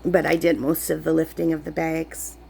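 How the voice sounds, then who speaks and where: Woman vlogger, under the weather, rambling chat, gravelly fry. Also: deep voice, flat delivery, normal speed, mellow, a little hiss or hum. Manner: chatty, rambling, many fillers. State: sick, voice lower than usual. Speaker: crafting vlogger. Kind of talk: casual chat while crafting. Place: camp cabin indoors.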